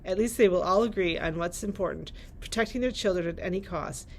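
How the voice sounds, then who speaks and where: A woman's voice: lowish voice, brisk, slight background noise. A woman, a librarian, reading book blurbs aloud and chatting casually about them. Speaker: librarian presenting book picks.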